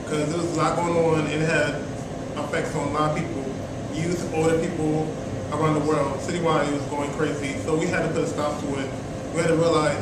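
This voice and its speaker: A young man explaining something earnestly in an interview, with halting phrasing. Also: a little high. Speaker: youth program member. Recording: distant mic in an echoey, noisy room.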